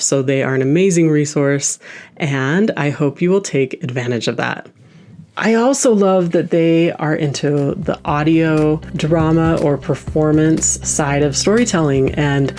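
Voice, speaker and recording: Woman podcast host, low pitch, enthusiastic delivery, introduction and interview style, faint music behind. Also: a little mellow.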